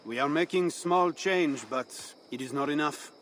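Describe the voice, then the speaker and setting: An actor playing a cynical French rebel leader in a video game, sardonic and guarded, with a French accent. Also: male voice, fairly high pitch, measured pace, fairly bright tone, slight background noise. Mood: dry, cynical. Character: wry, cynical male French rebel leader, secretly softhearted. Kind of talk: dramatic video game acting.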